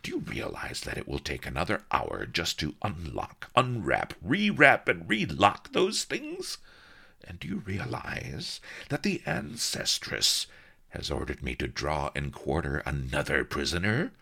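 A man's voice: sits low, huge pitch swings, measured pace, gravelly, sharp tone, breathy edge, exaggerated voice. Man doing a whining, aggrieved fat executioner. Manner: whining, indignant complaining. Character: fat grumbling male executioner, aggrieved, overworked.

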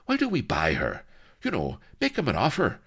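A man voicing an excitable, cynical adventurer colonel who grumbles and protests in colloquial speech, in a comic adventure story. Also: mid-range voice, normal speed, breathy edge, voice pushed up, exaggerated voice. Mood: urgent pleading.

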